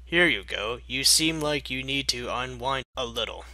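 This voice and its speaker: A man's voice: mid-range voice, lively intonation, moderate pace, sharp tone, no room sound. A man voicing a friendly little male cartoon dragon in a story reading. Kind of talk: fan fiction character reading.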